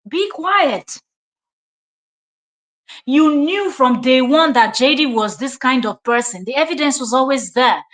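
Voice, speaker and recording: A woman's voice: on the high side, fairly bright tone. A woman with a Nigerian accent giving reaction commentary as host, confrontational and emphatic, repeating her points for stress.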